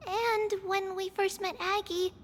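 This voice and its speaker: A woman voicing a timid, dazed little girl in a video game, with childlike, halting phrasing. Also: extremely high pitch, flat delivery, a little hiss or hum. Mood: explaining. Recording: music behind the voice.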